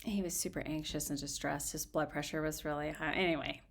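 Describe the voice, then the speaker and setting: A woman storyteller talking casually and reading aloud with expressive, dramatic emphasis. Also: sits low, fairly quick.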